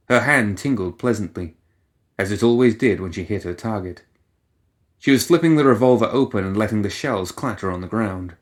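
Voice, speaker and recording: A man reading scripted fiction as an audiobook narrator, in a dramatic storytelling style. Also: fairly low pitch.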